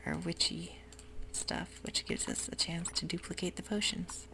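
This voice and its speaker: A woman whispering soft, hushed ASMR narration over gameplay at home, with frequent ums, as keyboard clicks sound behind her. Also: on the low side, fairly even intonation, measured pace, vocal fry, background noise. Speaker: ASMR gaming creator.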